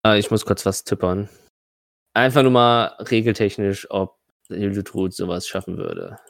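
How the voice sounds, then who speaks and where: Male game master for tabletop fantasy play, lower register, close dry recording, improvising narration and rulings in a halting way. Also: steady tone, creaky, no echo. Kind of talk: running a tabletop role-playing game, improvised narration and rules talk.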